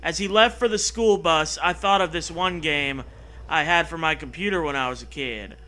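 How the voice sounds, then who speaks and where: A man's voice: fairly high pitch, moderate pace, pushed and bright. A man hosting a comedy show, reading a story aloud mockingly with sarcastic, profane, theatrical asides.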